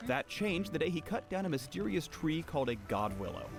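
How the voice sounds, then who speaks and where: A man's voice: on the high side, big pitch swings, a little fast, gravelly. A man delivering scripted promotional narration for a video game character showcase. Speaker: game champion spotlight narrator. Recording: loud music under game video narration. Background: loud music.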